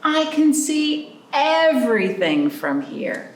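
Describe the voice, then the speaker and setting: A woman hosting a library storytime, reading picture books and chatting to young children in an animated way with simple questions. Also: sits high, takes it slowly. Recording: roomy, distant mic with background noise.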